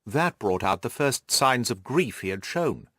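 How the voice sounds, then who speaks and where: A man reading the part of a shrewd, self-assured gentleman detective, precise and methodical, in an audiobook. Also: medium pitch, expressive, a little slow, dry recording, clean studio sound. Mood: brisk, satisfied.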